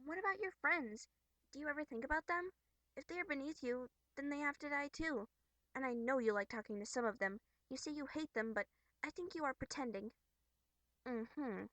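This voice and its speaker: A woman voicing a bubbly, earnest young troll girl from a webcomic, scolding but caring, with theatrical, expressive delivery. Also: high voice, moderate pace, dry recording, low-quality recording. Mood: scolding, teasing. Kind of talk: amateur character voice acting from a webcomic.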